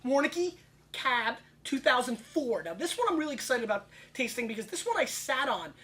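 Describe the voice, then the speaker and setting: A man's voice: very high voice, very animated, bright, forceful tone. A man in his thirties hosting a wine tasting show, brash and joking as he chats. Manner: brash, rapid-fire, joking. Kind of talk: casual tasting chat.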